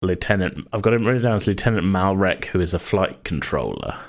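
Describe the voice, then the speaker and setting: A man's voice: deep voice, expressive, fairly quick. A man who hosts a podcast and runs games, chatting casually and rambling as he thinks aloud. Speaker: podcast host and game master. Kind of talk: casual chat.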